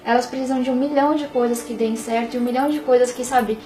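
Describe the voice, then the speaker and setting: Quick, high-voiced young woman. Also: recorded from a distance, a little hiss or hum, poor audio. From Bahia, Brazil. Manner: fast, animated, peppered with "sabe?". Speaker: interview guest. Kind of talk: casual interview talk.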